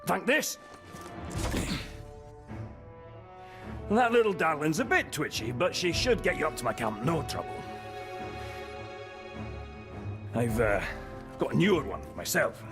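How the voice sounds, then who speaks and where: A jovial dwarf adventurer from a video game. His pitch sits high for a man and leaps about as he teases in a folksy, wry way. Music plays behind him in a roomy space. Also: big pitch swings, a little fast. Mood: wry, teasing. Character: gruff, jovial, eccentric dwarf tinkerer and adventurer. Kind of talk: animated video game character acting.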